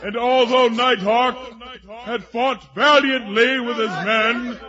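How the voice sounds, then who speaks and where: A man's voice: steady tone, slow pace. A man narrating a mock-epic fantasy tale in a grandiose, theatrical way, swelling and falling in force. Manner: grandiose, theatrical, swelling to shouts and dropping low. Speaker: storyteller. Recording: dull sound with crowd noise behind.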